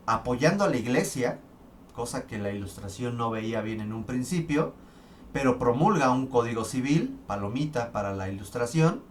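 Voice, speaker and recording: A man lectures on history at a middle pitch, talking through each point step by step. He sits some distance from the mic, and a faint hiss runs under his voice. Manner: explanatory, with fillers and restarts. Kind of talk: recorded history lesson.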